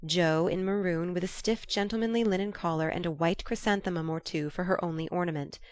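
A woman's voice: lowish voice, fairly quick, dry recording, clean studio sound. A woman reading a classic novel aloud as scripted audiobook narration.

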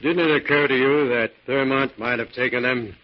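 A man playing a brisk police inspector questioning a suspect in a 1940s radio crime drama. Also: mid-range voice, fairly even intonation, lightly acted. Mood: brisk, stern. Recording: muffled 1940s radio recording.

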